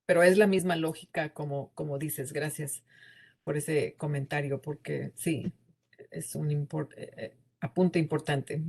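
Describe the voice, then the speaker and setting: A woman, answering a question as a researcher after her talk. Her voice sits a little low and she speaks slowly, repeating words as she works through her explanation. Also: fairly even intonation, creaky, darkish tone, husky. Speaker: presenting researcher. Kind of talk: academic discussion reply.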